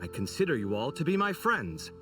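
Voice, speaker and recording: A man voicing an expressive, exasperated but good-hearted scholar in a video game, with a theatrical, sarcastic, emphatic delivery. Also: medium pitch, fairly quick, distant mic, music in the background, close to an everyday voice. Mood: friendly, eager. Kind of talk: scripted video game voice acting.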